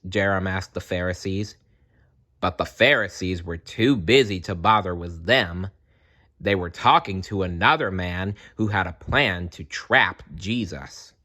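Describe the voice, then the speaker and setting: A man reading a children's story aloud as a dramatic, expressive narrator. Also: sits low, a little slow.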